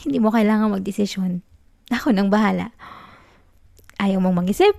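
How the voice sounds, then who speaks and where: A woman plays a possessive young Filipino girlfriend who refuses to let go. Her voice is soft and mellow, with a creaky fry in it, recorded very dry and close. She pleads tenderly in an intimate ASMR roleplay. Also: mid-range voice, normal speed, no room sound, lightly acted. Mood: controlling, tender. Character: clingy, possessive young student girlfriend in denial.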